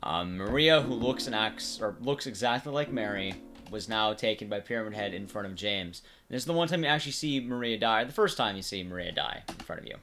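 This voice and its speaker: A man's voice: on the low side, brisk, bright, forceful tone, faint music behind. A man giving casual playthrough commentary, explaining things informally and talking straight to viewers. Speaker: game commentator.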